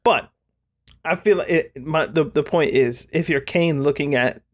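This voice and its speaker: Man, podcast co-host, fairly high voice, rambling, thoughtful, casual chat. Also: measured pace.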